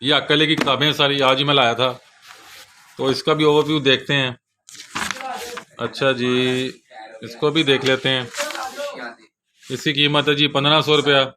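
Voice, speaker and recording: Pakistani man showing products over clear noise. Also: mid-range voice, flat delivery, moderate pace, long pauses. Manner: repeats a polite verbal tag at sentence ends. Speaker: bookseller presenting products. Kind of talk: casual product overview, unscripted.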